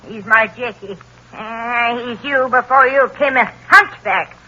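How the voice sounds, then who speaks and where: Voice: mid-range voice, a little slow, bright, forceful tone. A performer playing a deranged, doting old backwoods mother, fretful and pleading, in old radio drama style. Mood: desperate pleading. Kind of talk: 1930s radio drama acting. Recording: muffled old radio recording.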